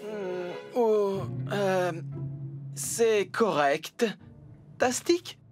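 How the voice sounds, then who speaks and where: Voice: medium pitch, very slow. An actor voicing a kindly, encouraging male builder boss in a French-dubbed children's cartoon. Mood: hesitant, polite. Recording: music behind the voice. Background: cartoon background music.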